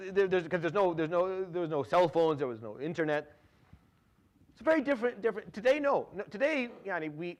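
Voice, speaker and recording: A man in his thirties who grew up in Syria delivers a relaxed religious lecture at a brisk clip. His voice sits a little high, and he restarts phrases often, dropping Arabic fillers between English sentences and reciting verses rapidly. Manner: conversational, frequent restarts and repeated words, drops Arabic fillers; recites Quranic Arabic quickly. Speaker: imam giving Quran commentary.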